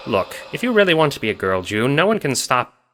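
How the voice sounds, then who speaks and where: A man voicing a stern yet warm old grandmother who teases fondly in an audio drama. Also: medium pitch, big pitch swings, fairly quick, background noise, voice pushed up, close to an everyday voice. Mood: playful, approving. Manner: fussy, affectionate, hooting pet-name talk. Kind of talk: audio drama character acting.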